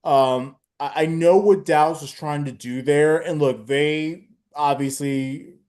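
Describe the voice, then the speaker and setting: Easygoing man, halting sports chatter. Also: a little high, steady tone, a little mellow. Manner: halting, filler-heavy, easygoing. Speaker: podcast co-host. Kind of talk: casual sports talk.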